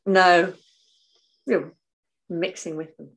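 Older woman guest, soft low voice, gestures a lot, video-call sound. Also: slow pace. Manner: animated, waves arms while talking. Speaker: guest author and artist. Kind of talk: casual video call interview. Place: home room, indoors.

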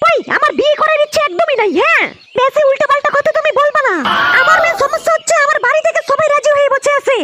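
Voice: extremely high pitch, fast. A performer voicing a pert, defensive young village woman in a comedy cartoon, with a processed cartoon voice. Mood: reluctant. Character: young village woman, saucy reluctant girlfriend. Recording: loud music behind voice.

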